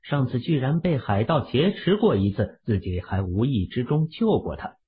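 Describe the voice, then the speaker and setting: A man's voice: mid-range voice, sing-song, moderate pace, slightly rough. A man narrating fiction from a script as an audiobook storyteller. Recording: phone-like, band-limited audio.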